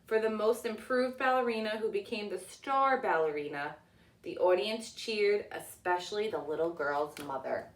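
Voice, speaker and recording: A woman narrating a children's storybook as a teacher reading aloud, with deliberate, expressive delivery. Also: medium pitch, far from the mic, slight background noise. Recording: echoey room, away from the mic.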